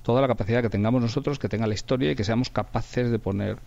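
A man's voice: medium pitch, very fast, gravelly, a little mellow, dull audio. A man with an accent from Spain, talking reflectively in an interview as a radio drama director, often repeating words for emphasis. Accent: Spanish from Spain.

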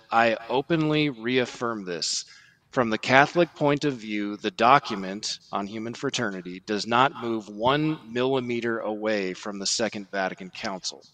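A man's voice: on the low side, fairly bright tone. A man speaking as a podcast guest, giving methodical, articulate commentary on religion and quoting sources precisely. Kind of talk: conversational religious commentary with quotations.

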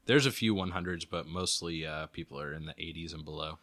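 Deep, bright-toned man hosting a quiz. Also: brisk. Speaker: podcast co-host, quizmaster. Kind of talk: casual chat, reading quiz questions aloud.

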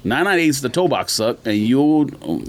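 Dude arguing on a casual podcast, blunt takes, voice bouncing up and down, mid pitch. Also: male voice, sing-song, normal speed, slight background noise. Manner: opinionated, blunt, argumentative. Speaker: sneaker podcast host. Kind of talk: casual sneaker talk among friends.

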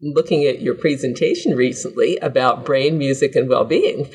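A man's voice: medium pitch, normal speed, husky. A male podcast host from southern California asking a researcher questions with enthusiasm. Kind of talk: interview questions.

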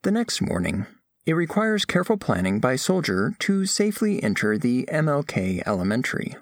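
A man's voice: medium pitch, big pitch swings, moderate pace, dry recording. A man playing a formal, curious alien scholar who narrates an audio drama in precise, clinical wording. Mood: detached curiosity. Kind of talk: scripted first-person story narration.

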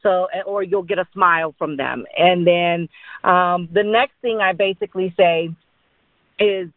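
A woman explains things informally as a sales trainer, often tagging on little check-ins. Her pitch sits on the low side for a listener expecting a light voice, and it comes through a thin, phone-like line. The sound is dry and close, with no room around it. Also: measured pace, no echo. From born in India, grew up in Dallas, Texas. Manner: explanatory, with frequent check-in tags like "right?" and "okay?". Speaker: insurance agent giving sales training. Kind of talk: informal instructional talk.

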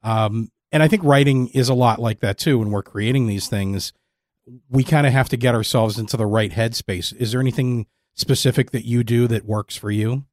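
A man's voice: medium pitch, normal speed, a little mellow, dry recording, clean studio sound. A man hosting a conversational interview, phrasing his questions and thoughts in a reflective way. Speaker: podcast interviewer, host.

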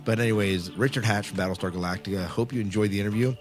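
A very low-pitched man hosts a casual podcast. He talks informally and wordily, explaining as he goes. Music plays clearly behind his voice. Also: racing. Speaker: podcast host. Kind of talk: casual chatty podcast hosting. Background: loud music bed.

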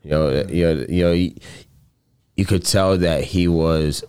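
A man with a strikingly deep, smooth, resonant voice recounts a story in relaxed conversation. He talks earnestly in loose, rambling phrases and drops casual swears along the way. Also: a little slow.

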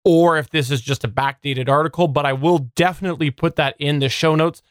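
A man's voice: on the high side, steady tone, brisk, clean tone, dry recording, clean studio sound. A man co-hosting a podcast, talking through news in a conversational style. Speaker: podcast co-host.

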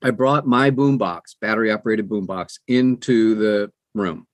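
A man's voice: on the low side, measured pace, no room sound. An older man, an audiobook narrator, talking conversationally as an interview guest and telling anecdotes.

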